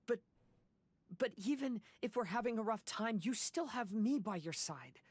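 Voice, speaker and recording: A woman voicing an earnest, dutiful boy, heir to a samurai clan, in a video game, soft and sincere with a breathy husk. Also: fairly high pitch, lively intonation, moderate pace, dry recording. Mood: hurt, pleading. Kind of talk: dramatic video game voice acting.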